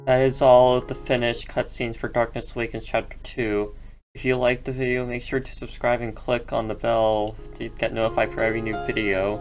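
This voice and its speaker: A man hosting a reaction video, speaking softly and hesitantly in casual commentary, often restarting his sentences. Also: mid-range voice, level tone, a little fast, soft background music, rough recording. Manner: soft-spoken, hesitant, with repeated restarts. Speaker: YouTube reaction host.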